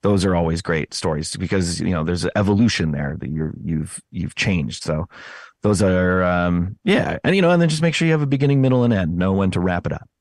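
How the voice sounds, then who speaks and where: A man giving casual, conversational interview answers, often pausing and correcting himself as he finds his words. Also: low-pitched, darkish tone, dry recording, clean studio sound. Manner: hesitant phrasing, self-correcting, chatty. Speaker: podcast host appearing as interview guest.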